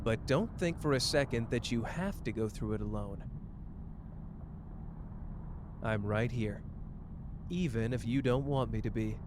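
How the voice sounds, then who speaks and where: A man's voice: medium pitch, moderate pace, noisy background, close to an everyday voice. A man playing a cocky, caring young sorcerer in a roleplay ASMR drama, teasing and tender as the listener's partner. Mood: gentle, earnest.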